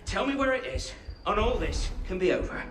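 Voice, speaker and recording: An actor playing a gloating, menacing torturer and gang thug in a dramatic video game scene. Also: male voice, high-pitched, animated, a little fast, vocal fry, roomy sound, over music. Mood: menacing, mocking.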